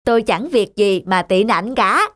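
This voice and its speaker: An actor voicing a good-natured, loyal male ox in an animated fairy tale, speaking in a mild, unbothered manner. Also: medium pitch, a little slow, dry recording, studio quality.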